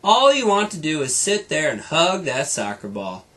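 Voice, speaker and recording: A man's voice: a little high, wildly swooping pitch, normal speed, fairly bright tone. A man voicing an impatient young girl bear cub from a children's storybook. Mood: irritated, impatient.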